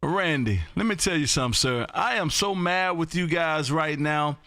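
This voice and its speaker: Loud excited ham radio man from Texas. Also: on the high side, normal speed, noisy background. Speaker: ham radio operator and live streamer. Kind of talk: ham radio contact chat. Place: home radio room.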